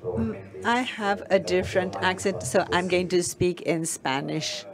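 A woman giving a steady, measured simultaneous interpretation of a conference talk. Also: lowish voice, crowd noise. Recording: conference hall with audience noise.

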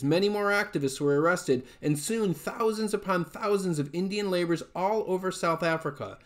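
A man in his forties reads nonfiction aloud in a fairly high voice. His phrasing is measured and deliberate, in the style of an author presenting his own book. He is recorded at home, at arm's length from the microphone. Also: a bit bright. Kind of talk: read-aloud nonfiction narration. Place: small furnished room at home, indoors.

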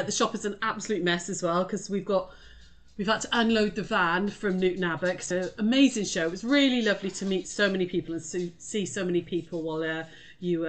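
A woman, snuffly with a cold, chatting brightly to viewers during a live sewing tutorial from her home studio webcam. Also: fairly low pitch. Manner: bubbly, greets viewers by name, laughs often. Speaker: craft shop owner hosting a live sewing tutorial. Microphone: webcam at desk.

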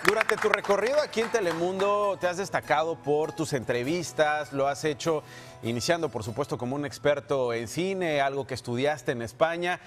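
A man's voice: on the high side, normal speed. A man co-hosting a morning television show, presenting in a polished way as he addresses a colleague in the studio. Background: music bed.

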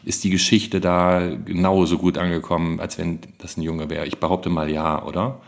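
A man's voice: sits low, flat delivery, moderate pace, gravelly. A man co-hosting a podcast, reflecting casually and often adding tag questions. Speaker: podcast co-host. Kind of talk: casual panel discussion.

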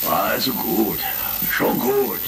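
A captured, pleading space pirate, lowish and heavily gravelly. He stammers in an old sci-fi radio play, with loud music behind. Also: wildly swooping pitch, slow pace, exaggerated voice. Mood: alarmed. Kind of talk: retro science fiction radio play acting. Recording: loud music, vintage crackle.

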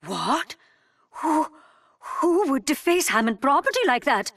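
A brash young Australian gunner from a video game. She sounds slightly high and a little off the mic, breezy, cheeky and teasing. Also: sing-song, unhurried, a bit bright, recorded from a distance, exaggerated voice. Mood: mock outrage. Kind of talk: video game character lines.

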